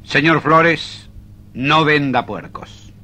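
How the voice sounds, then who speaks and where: Slow, officious male office chief. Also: fairly high pitch, sharp tone, full character voice. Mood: stern. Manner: formal, measured dictation. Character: officious male tariff department chief, bored bureaucrat. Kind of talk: 1970s-80s comic radio drama acting.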